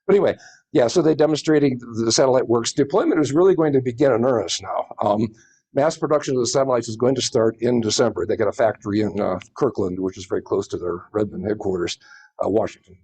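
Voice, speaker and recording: A man's voice: mid-range voice, quick pace, a little mellow, slightly rough. A man presenting informally to a group, explaining in a rambling way with many hedging asides. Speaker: presenter at a space society meeting.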